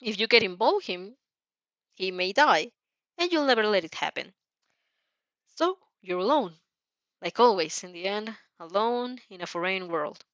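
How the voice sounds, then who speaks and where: A woman narrating a fan fiction story dramatically, with an expressive, somewhat halting delivery. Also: medium pitch, no echo, lo-fi sound.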